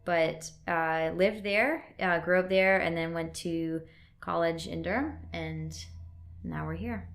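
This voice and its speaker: A woman's voice: fairly low pitch, takes it slowly. A woman in her thirties with a mixed Northern and Southern US accent, a podcast guest explaining at length in casual chat, with many fillers. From Wisconsin and North Carolina, US. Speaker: podcast guest, CrossFit coach and gym owner. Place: physical therapy clinic.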